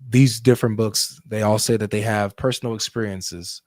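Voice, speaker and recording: A man's voice: lowish voice, fairly even intonation, moderate pace, a little mellow, dry recording, clean studio sound. A man hosting a live debate, asking probing, pointed questions.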